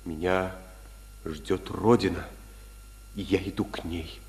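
An actor playing a grave, quietly resolute patriot with measured delivery in a 1950s Soviet radio drama. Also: male voice, deep voice, fairly even intonation, big-room sound. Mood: solemn resolve.

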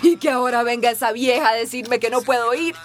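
A woman acts out a headstrong, hardworking mother in a radio drama. Her voice is high and her delivery emotional. Music plays behind her. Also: quick pace, close to an everyday voice. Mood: indignant. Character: headstrong, hardworking migrant mother, aggrieved and stubborn. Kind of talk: Spanish-language radio soap acting.

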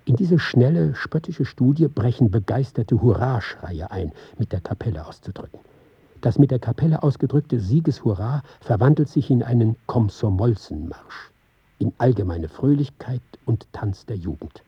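An actor playing an intent, precise man who recites a sound score for a radio play in short, deliberate phrases. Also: mid-range voice, expressive, slightly rough, dry recording, highs cut off. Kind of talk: dramatic scripted reading.

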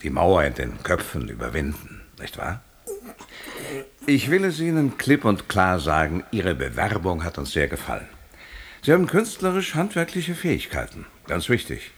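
An actor playing a jovial, patronising company director, businesslike and condescending, in a German audio drama. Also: male voice, low voice, huge pitch swings, moderate pace, a little hiss or hum, heavily acted. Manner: condescending, businesslike, smooth-talking.

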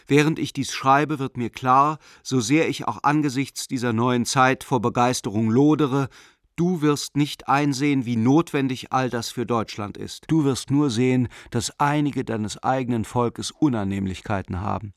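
A self-righteous banker turned fanatical believer played by a male actor in a radio drama. He speaks in measured, formal, declamatory phrases, in a middle-pitched voice recorded close and dry. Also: no room sound, natural voice. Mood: coolly self-justifying. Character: zealous German banker turned Nazi believer, coldly formal. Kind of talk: radio play letter monologue.

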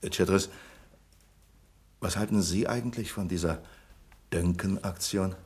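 An actor playing a pedantic, self-important bank manager in a radio crime comedy, pompous and officious. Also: male voice, low voice, a little slow, darkish tone. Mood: suspicious, confidential. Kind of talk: 1970s German-language radio crime comedy acting.

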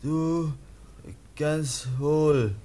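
A childlike young man who barely knows how to speak. The voice sits fairly high and moves extremely slowly, word by broken word, innocent and timid, as in a radio play. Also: fairly even intonation, mellow. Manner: halting broken speech in single words. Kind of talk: German radio play acting.

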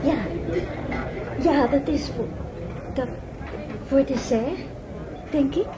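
An actress playing a shy, naive young nanny in a radio drama, hesitant, self-deprecating and giggly. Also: female voice, high-pitched, takes it slowly, over a noisy crowd. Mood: embarrassed. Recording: very noisy old radio play recording.

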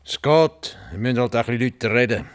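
An actor in a radio play voicing a plain-spoken, stubborn old farmer with a Swiss German accent and rustic dialect turns. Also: male voice, medium pitch, brisk, close to an everyday voice. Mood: curt, stubborn. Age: older.

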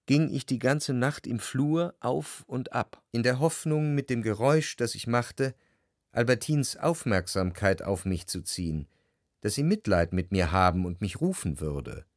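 An actor playing an anxious, jealous lover who recalls his life in measured, introspective radio drama narration. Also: medium pitch, expressive, no room sound, studio quality. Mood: brooding, introspective. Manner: measured, introspective, literary phrasing. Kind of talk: literary radio drama narration with quiet dialogue.

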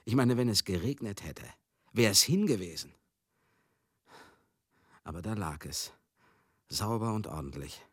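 A rough-mouthed, sentimental showman in a radio play, with a lowish, bright voice that swings widely. He chats theatrically and excitably, close and dry. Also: unhurried, pauses often, no echo, heavily acted. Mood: chatty reminiscing. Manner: chatty, theatrical, swinging into outbursts. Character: garrulous, rough-mouthed old showbusiness man, sentimental and excitable. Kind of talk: German radio drama monologue.

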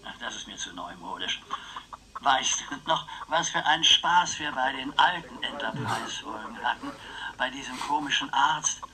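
An actor playing an eccentric, playful man in his eighties in a radio crime drama, stubborn and scheming, with theatrical, drawn-out phrasing. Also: medium pitch, expressive, pushed and bright, low-quality recording. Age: 80s.

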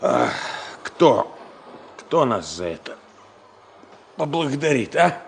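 A gruff, fatherly senior engineer in a Soviet radio play. His mid-pitched delivery is folksy and ironic, chiding and teasing with rhetorical questions. There is light noise on the old recording. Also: big pitch swings, takes it slowly, exaggerated voice. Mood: stern lecturing. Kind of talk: Soviet radio drama acting.

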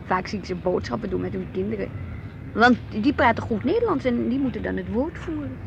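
A woman's voice: a little high, expressive, fairly quick, creaky, muffled recording. An actress playing an inquisitive, earnest wife and mother in a naturalistic radio play, with a Netherlands Dutch accent. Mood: reflective. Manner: questioning, earnest. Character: inquisitive, reflective wife and mother, easily piqued at her husband. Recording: noisy outdoor street ambience with traffic.

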